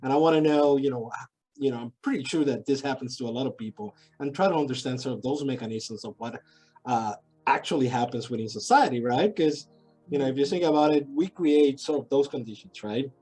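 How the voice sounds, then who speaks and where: A man's voice: mid-range voice, brisk, a little mellow, slightly rough, faint music behind. A middle-aged man from Puerto Rico, a sociology professor talking as a guest in a casual interview, thoughtful and hesitant with many restarts.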